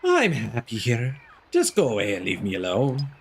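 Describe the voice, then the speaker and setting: A man's voice: medium pitch, huge pitch swings, moderate pace, vocal fry, slight background noise, pitched below the performer's own voice. A man voicing a stubborn, blissfully lazy video game fisherman in a folksy drawl.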